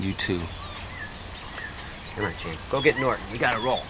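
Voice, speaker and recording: A man's voice: lowish voice, lively intonation, moderate pace, heavy background noise, natural voice. An actor playing an easygoing small-town husband and father in a naturalistic audio drama. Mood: casual. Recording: loud car and street ambience.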